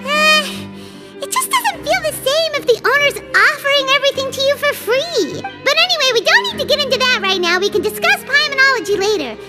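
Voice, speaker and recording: A woman's voice: very high-pitched, sing-song, brisk. A woman voicing a small, chirpy female flying companion who talks about herself in the third person. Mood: indignant. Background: game music.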